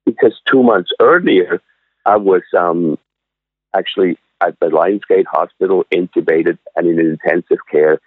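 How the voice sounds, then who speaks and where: A man in his sixties from Switzerland tells his story slowly, stopping and restarting often with plenty of ums. His voice sits low and comes through a narrow, tinny phone line with no room sound around it. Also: dry recording. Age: 60s. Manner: halting, many fillers and restarts. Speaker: guest, vegan restaurant owner. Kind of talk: casual interview storytelling.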